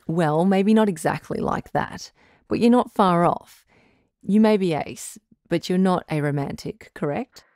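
Woman acting as calm female radio doctor, low voice, measured, reassuring, dry studio sound, audio drama. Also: dry recording, natural voice. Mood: gently advising. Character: calm, reassuring woman doctor hosting relationship advice show. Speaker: radio advice host.

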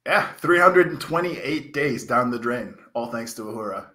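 A man in his thirties co-hosts a wrestling stream, his voice bright and forceful even though he is a bit under the weather and coughing. He talks in an excitable, rambling, slangy way through a headset mic. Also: mid-range voice, moderate pace. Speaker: wrestling commentator. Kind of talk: casual live-stream commentary chat.

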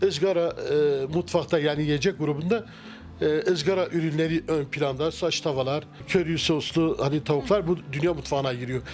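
A middle-aged man with a slightly high voice talks through a handheld mic in a wood-paneled restaurant. He answers questions haltingly, full of fillers and restarts. Soft music plays faintly underneath. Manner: hesitant, many fillers and restarts. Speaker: restaurant owner. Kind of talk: casual interview. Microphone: handheld mic held by interviewer.

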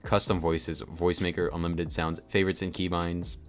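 A man narrating a casual software tutorial in a chatty, informal, explanatory way. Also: low voice, little pitch movement, moderate pace. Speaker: tutorial host. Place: voice-over on a screen recording. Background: background music.

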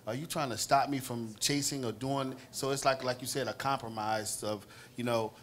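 A man's voice: mid-range voice, steady tone, normal speed, a little hiss or hum. A middle-aged man, a playwright guest, talking animatedly on a panel in a restaurant, often repeating words, with a handheld mic. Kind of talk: casual panel interview. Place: restaurant with wood-paneled wall.

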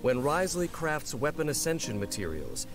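A man reading scripted promotional narration for a video game in a measured, articulate, polished way. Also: fairly high pitch, very animated. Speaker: narrator of game character guide. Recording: loud music under the voice. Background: game music.

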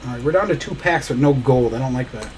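A man's voice: mid-range voice, quick pace, a little mellow, slight background noise. A man hosting a live trading card break, opening packs and rattling off player names as he goes. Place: small indoor studio desk.

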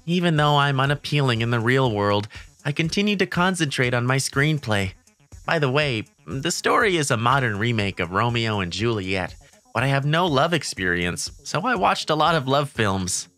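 A narrator reads a first-person romantic comedy story for a manga dub. The voice is bright and animated, of middle pitch, with soft music behind it. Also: normal speed.

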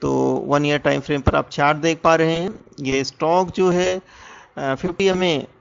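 A man's voice: on the high side, fairly even intonation, moderate pace, vocal fry, clean tone. A man with an Indian accent presenting an explanatory stock analysis as a voice-over, in a muffled lo-fi recording. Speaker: presenter of a stock market education channel.

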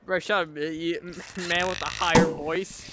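A man's voice: on the high side, flat delivery, a little slow, very noisy. A man bantering casually while playing a video game, teasing and often repeating himself.

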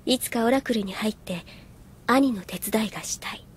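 An actress playing an earnest, reserved young woman soldier in an anime audio drama, composed and soft-spoken. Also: on the high side, normal speed, slightly rough. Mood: reflective. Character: earnest, reserved young female soldier recalling her past. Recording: music behind the voice.

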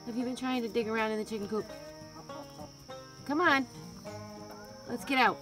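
A woman's voice: on the high side, normal speed, music in the background, poor audio. A middle-aged woman talking casually to her pets in short, coaxing phrases, recorded on a handheld phone. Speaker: backyard chicken keeper, vlogger. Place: mostly outdoors in a garden and around a coop.

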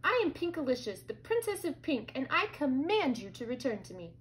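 A woman voicing an excitable, bossy little girl princess in a children's storybook, with a theatrical, exclamatory delivery. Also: high-pitched, a little fast, pitched above the performer's own voice. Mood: commanding.

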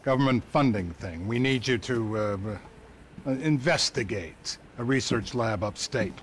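A man's voice: medium pitch, lively intonation, measured pace, slight background noise, lightly acted. A man playing a weary, matter-of-fact government agent in a video game, plain and apologetic in manner. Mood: apologetic, businesslike. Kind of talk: video game cutscene acting.

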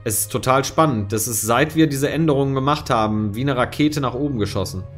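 Male streamer, 40s, from East Westphalia; casual, filler-heavy play-by-play over soft music. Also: medium pitch, fast talker. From East Westphalia, Germany. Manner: thinks aloud with many fillers. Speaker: streamer and co-host. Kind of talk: casual let's-play chat. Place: home room.

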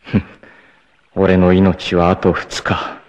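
An actor playing a proud, fierce, devoted martial-arts warrior facing death, with solemn, dramatic delivery in 1980s anime style. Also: male voice, deep voice, monotone, dull audio. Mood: solemn, wistful. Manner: solemn, dramatic, deliberate delivery with intense outbursts.

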